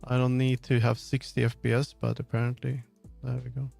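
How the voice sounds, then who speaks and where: Casual male livestreamer, average pitch, muttery and wandering delivery, light music behind. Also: completely flat delivery, brisk, creaky, a little mellow. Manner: rambling, muttering to himself, jumping between thoughts. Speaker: streamer.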